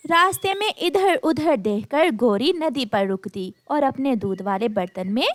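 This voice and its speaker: A woman's voice: high voice, expressive, a little slow, no echo. A woman reading scripted narration for a children's moral-story cartoon.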